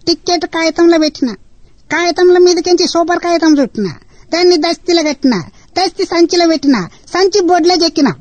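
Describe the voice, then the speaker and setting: Lady doing a rapid old telangana granny, squeaky high and rambling, dry close sound. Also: fairly even intonation, a little mellow, dry recording. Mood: fussy, doting. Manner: fast, fond and rambling, drawn-out dialect. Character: chatty old village woman, fussy and wary.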